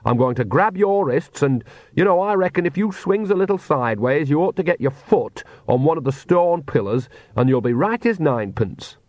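A man voicing an easygoing old country witch woman who talks in a folksy, conversational, unhurried way. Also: fairly high pitch, expressive, darkish tone, no echo, voice pushed up, lightly acted. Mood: breezy, reassuring. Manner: folksy, conversational, rustic grammar.

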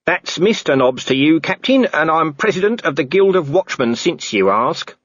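A man's voice: fairly high pitch, lively intonation, moderate pace, a bit bright, breathy edge, no echo, muffled recording, pitched above the performer's own voice. A man voicing a cheeky, scruffy male corporal who talks in a cocky, offhand way. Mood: smug.

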